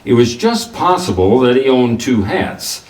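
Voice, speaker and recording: An actor playing a wry, hardboiled private detective, narrating a noir radio drama in a clipped way. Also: male voice, medium pitch, big pitch swings, measured pace, a little mellow. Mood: wry, brisk. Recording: roomy, distant mic, faint noise.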